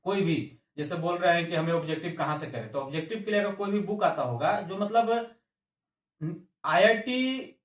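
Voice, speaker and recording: Echoey, higher-pitched male coaching teacher. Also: lively intonation, moderate pace. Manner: repeats and restarts phrases, mixes in English terms. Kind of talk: informal explanatory lecture to exam aspirants. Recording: echoey room, distant microphone.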